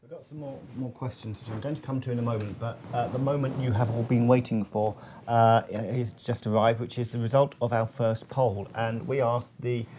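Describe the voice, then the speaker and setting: Mellow male webinar host, noisy background. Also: medium pitch, steady tone, moderate pace, few pauses. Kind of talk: informal live presenting. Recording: clear background noise.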